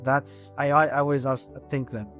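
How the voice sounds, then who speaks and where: A middle-aged man with an Iraqi Arabic accent, a guest on a podcast interview, talking haltingly with many restarts and repeated words. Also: medium pitch, little pitch movement, fairly bright tone, faint music behind. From Iraq. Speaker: guest, engineering firm president.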